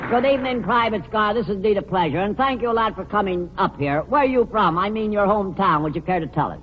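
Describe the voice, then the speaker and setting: An actor playing a sarcastic, exasperated quiz host in a 1940s radio comedy. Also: male voice, high-pitched, quick pace, lightly acted. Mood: patter. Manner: sarcastic, exasperated, patter with contestants. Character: exasperated, wisecracking male quizmaster. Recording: dull, noisy, hall with audience.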